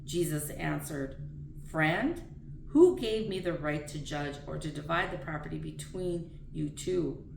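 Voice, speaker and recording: A woman with a slightly low, gravelly voice reads scripture aloud, plainly and earnestly. She sounds some distance from the microphone, in a room that echoes around her words. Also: unhurried. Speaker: reader of daily scripture readings. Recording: roomy echo, distant mic, faint noise.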